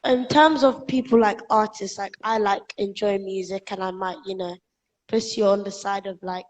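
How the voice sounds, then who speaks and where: A woman's voice: medium pitch, steady tone, moderate pace. A young adult woman hosting a casual live interview, chatting informally and a little hesitantly. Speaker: show host, interviewer. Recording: echoey, long room reverb.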